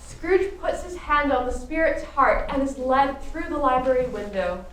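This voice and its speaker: Female radio-play narrator, high pitch, theatrical scripted delivery, roomy sound with faint noise. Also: animated, moderate pace, a bit bright, recorded from a distance. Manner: theatrical storytelling. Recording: echoey room from afar, faint noise.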